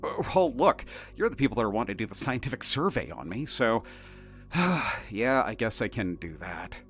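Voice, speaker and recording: A man's voice: lowish voice, sing-song, moderate pace, a bit bright. A man playing a cocky, easygoing supernatural entity who talks in a casual, flippant, slangy way in an audio drama. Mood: casual, reluctant.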